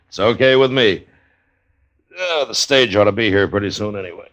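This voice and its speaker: An actor playing a steady, plainspoken frontier marshal with measured delivery in a 1950s radio western. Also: male voice, on the low side, dry recording, dull audio, close to an everyday voice. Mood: easygoing. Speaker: lead actor and narrator. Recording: vintage radio drama recording.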